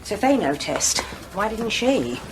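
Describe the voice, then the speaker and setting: A woman's voice: medium pitch, sing-song, unhurried. A woman playing a businesslike, analytical senior detective in an audio drama. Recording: clear background noise, ambience.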